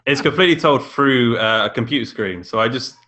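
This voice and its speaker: Bright-voiced British man chatting casually. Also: medium pitch, fairly quick. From England. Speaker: podcast guest, indie author and filmmaker. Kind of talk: casual chat.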